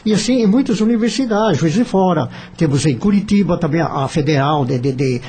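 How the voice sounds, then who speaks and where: A Brazilian man speaking off the cuff in a radio discussion, halting and repeating himself as he searches for words. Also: fairly high pitch, lively intonation, dark tone, breathy edge.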